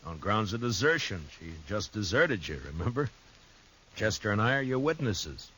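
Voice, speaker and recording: An actor playing a steady, dry-witted frontier marshal in a 1950s radio drama, calm and wry. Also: male voice, on the low side, normal speed, a little hiss or hum, rough recording. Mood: kindly, wry.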